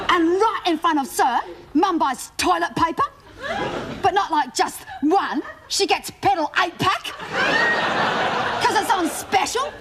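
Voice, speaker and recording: A woman with an Australian accent playing a gossipy, easily embarrassed teenage schoolgirl, rambling breathlessly through a comedy monologue. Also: very high-pitched. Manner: breathless rambling storytelling, mocking asides. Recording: studio audience laughter and noise.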